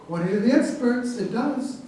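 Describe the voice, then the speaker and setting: High-pitched blustering president acted by a man, very echoey. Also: expressive, moderate pace, mellow. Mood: boastful, defensive. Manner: emphatic, boastful, dismissive. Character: blustering, defensive male president proud of absurd ideas. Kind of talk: staged reading of a satirical play. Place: echoey room, far from the microphone. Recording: very echoey, distant, noisy hall recording.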